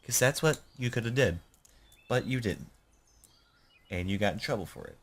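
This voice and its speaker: A young man with a deep, low-sitting voice hosts a casual let's play of a visual novel. He reads the game's text aloud and keeps breaking off into rambling, self-deprecating asides, with some swearing. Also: lively intonation, normal speed.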